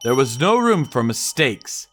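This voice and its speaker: A man giving grim, deliberate first-person dramatic narration for a manga dub, in scripted style. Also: medium pitch, sing-song, a bit bright. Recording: music behind the voice. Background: background music.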